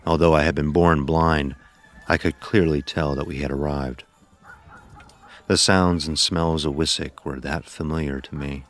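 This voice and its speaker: Very deep, solemn old man. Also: fairly even intonation, moderate pace, soft background music. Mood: reflective. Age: older. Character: weary old man narrating his dark memoirs. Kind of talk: scripted audio drama narration.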